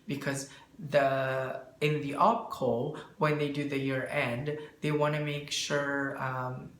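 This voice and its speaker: Halting man explaining in an echoey room. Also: mid-range voice, level tone, fairly bright tone. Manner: halting, searching for words. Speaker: bookkeeper answering questions. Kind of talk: explanatory business interview. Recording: echoey room, faint background noise.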